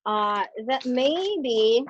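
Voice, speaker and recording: A woman from the Philippines with a Filipino accent, an exchange teacher giving an earnest, encouraging talk in an online meeting, pausing often. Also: sits high, expressive, highs cut off, slight background noise. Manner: earnest and encouraging, with frequent pauses and fillers. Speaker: exchange teacher sharing her experience. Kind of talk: motivational presentation in an online meeting. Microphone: video call.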